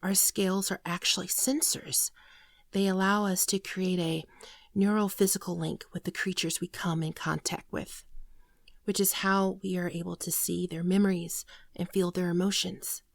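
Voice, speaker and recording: A gentle shapeshifter alien woman, played by an actress, confesses her sorrows in an ASMR roleplay. Her soft voice often thins toward a whisper and crackles with vocal fry. She speaks in an earnest, halting way, in a very dry recording. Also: on the low side, little pitch movement, dry recording. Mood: solemn, explaining. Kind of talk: ASMR roleplay monologue, scripted.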